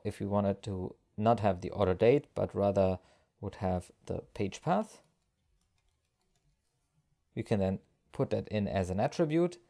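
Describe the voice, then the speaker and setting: A man narrating a step-by-step software screencast tutorial as an instructor, explaining each action as he goes. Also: on the low side, gravelly.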